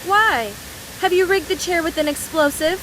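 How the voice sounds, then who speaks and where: A woman's voice: very high voice, moderate pace, fairly bright tone, slight background noise, poor audio. A woman voice-acting a brash, mischievous young woman fighter from a video game, teasing in a playful, folksy, mock-serious way.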